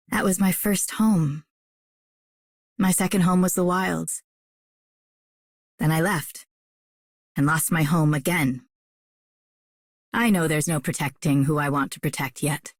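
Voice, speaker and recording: A woman's voice: lowish voice, no room sound, studio quality. A woman voicing a solemn, resolute young female warrior in scripted video game lines.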